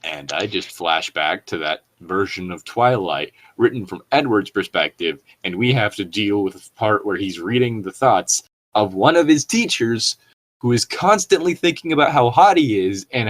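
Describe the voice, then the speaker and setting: A man's voice: on the low side, a bit bright, poor audio. A man co-hosting a comic read-aloud of bad fan fiction, amused and mocking, with casual sweary commentary. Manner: amused, mocking, breaking into chuckles.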